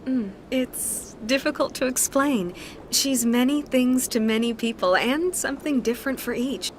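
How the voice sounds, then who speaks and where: A woman voicing a courteous, poised alien acolyte who receives clients in a video game, polite, soothing and measured. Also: high-pitched, slight background noise. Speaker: receptionist acolyte. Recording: game music behind the voice.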